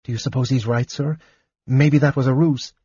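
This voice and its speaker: A man voicing a young male knight who speaks quickly and anxiously. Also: mid-range voice, steady tone, dark tone, slightly rough, no echo. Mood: hopeful. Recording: dull dry lo-fi audiobook recording.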